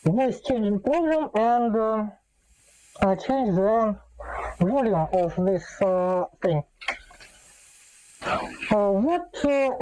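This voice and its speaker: A woman giving a casual, unscripted gadget demonstration, speaking in a halting, hesitant way with many filler pauses. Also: a little high, mellow, rough recording. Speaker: host demonstrating an electronic gadget.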